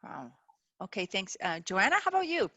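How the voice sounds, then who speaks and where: A middle-aged woman hosting a webinar over a video call, talking earnestly with many pauses and fillers. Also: medium pitch, very animated, bright, forceful tone, dry recording, dull audio. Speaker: webinar host. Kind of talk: casual chat.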